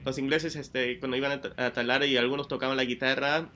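A man's voice: medium pitch, level tone, brisk, pushed and bright, slight background noise. A man from Peru co-hosting a casual radio chat, joking and rambling. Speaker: radio co-host.

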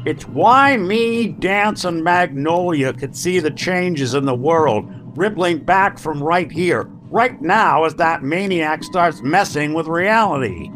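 World-weary synthetic private eye, slow earnest delivery, hard-boiled phrasing, old-time radio mystery, music underneath. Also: male voice, on the high side, lively intonation, a bit bright. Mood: urgent. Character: weary, reasoning male android noir private detective.

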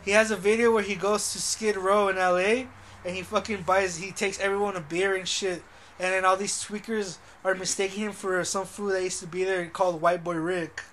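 A young man with a fairly high voice chats in a loose, profane way, letting his sentences trail off. A faint hiss sits under the recording. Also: flat delivery, moderate pace, fairly bright tone. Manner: laid-back, swears constantly, trails off. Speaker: podcast co-host. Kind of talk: casual profane chat.

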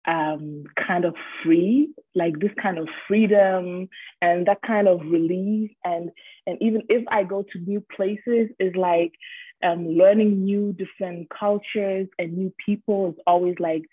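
A woman's voice: fairly low pitch, steady tone, measured pace, slightly rough, dry recording. Woman in her twenties on a tinny line. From Germany. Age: 20s. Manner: open, frequent ums, laughs easily. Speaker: podcast guest. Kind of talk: casual interview chat.

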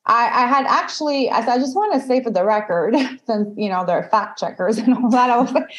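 A woman whose first language is French, talking in a casual podcast interview in a chatty, opinionated way, full of fillers and quick laughs. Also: on the high side. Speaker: guest, dating content creator.

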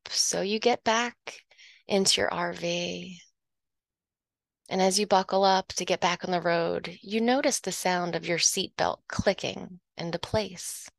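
A woman leading a guided hypnosis meditation, speaking in a slow, soothing, hypnotic way and dropping softly into whispers. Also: fairly low pitch, creaky, dry recording, studio quality.

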